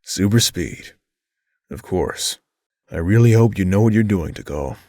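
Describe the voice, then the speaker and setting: A man's voice: deep voice, animated, a little slow, dark tone, no room sound. A man playing a dry, skeptical, protective teenage boy in an audio drama, with sardonic delivery.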